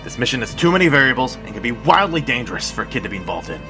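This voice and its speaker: Young soldier hero for an audio drama, earnest, flustered or firm, swingy pitch, forceful tone. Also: male voice, very animated, rapid-fire. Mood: stern. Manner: stammering when flustered, firm when giving orders. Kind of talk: cinematic audio drama acting. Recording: music behind the voice.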